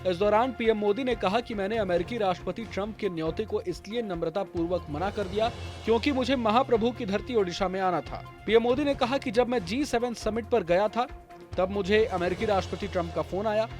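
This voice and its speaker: A man's voice: high-pitched, a little fast. A man reading a scripted news voice-over as a narrator. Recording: music bed under voice. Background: prominent background music.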